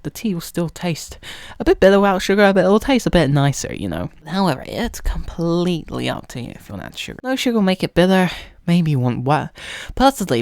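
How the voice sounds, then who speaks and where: A young British man giving a playful ASMR roleplay tutorial straight to the listener, mock-instructive and slipping at times into breathy whispers. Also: a little high, no room sound. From United Kingdom. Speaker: ASMR roleplay creator and host.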